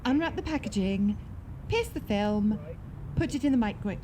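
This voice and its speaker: An actress playing an anxious, frustrated support worker in a radio drama, earnestly questioning herself. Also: female voice, medium pitch, lively intonation, normal speed, crowd noise, natural voice. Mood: flustered, exasperated. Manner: earnest, agitated, self-questioning. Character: anxious, frustrated woman who ran a domestic abuse service. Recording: clear background ambient noise.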